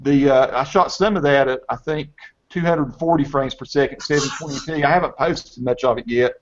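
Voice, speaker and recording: A man's voice: mid-range voice, a little fast. A man in his fifties with a Southern US accent, hosting a casual video call chat and often repeating words as he restarts. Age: 50s. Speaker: host. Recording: dull lo-fi video call audio.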